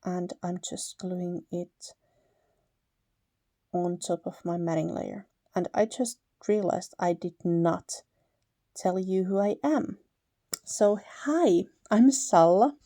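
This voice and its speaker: A woman's voice: on the low side, takes it slowly, no room sound, studio quality. A woman from Finland with a Finnish accent, chatting casually through a paper-crafting tutorial, correcting herself now and then. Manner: relaxed, chatty, laughs lightly, self-correcting. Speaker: paper crafter, channel host.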